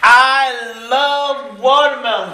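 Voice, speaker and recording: A man's voice: very high-pitched, steady tone, slow pace. A man preaching in short phrases for an interpreter, speaking in an informal, joking way. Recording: echoey room, faint noise.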